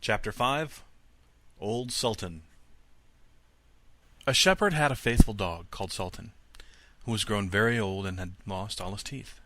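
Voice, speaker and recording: Low-voiced man narrating a storybook. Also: lively intonation, measured pace, pauses often, a bit bright, slight background noise. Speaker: audiobook reader.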